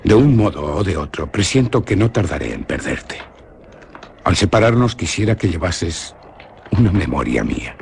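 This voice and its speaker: A young Spanish nobleman from a radio play, brooding and earnest, with a very deep voice that rises and falls. He talks in a hushed, solemn way. A steady hiss sits under the old radio recording. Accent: Spain Spanish. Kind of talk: 1950s-style radio drama acting.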